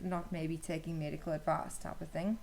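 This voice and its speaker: A woman's voice: sits low, flat delivery, normal speed, darkish tone. A young woman, chatting casually as a guest sharing her own experience. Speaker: interview guest, new mother. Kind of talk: casual conversational storytelling. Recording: clearly noisy room ambience.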